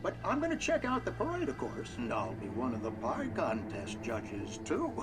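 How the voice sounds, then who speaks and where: An actor playing a genial, easygoing older small-town doctor being interviewed on radio in a video game. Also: male voice, mid-range voice, wildly swooping pitch, normal speed, very noisy. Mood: genial, folksy. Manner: folksy, chuckling, easygoing. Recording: clear music behind the voice.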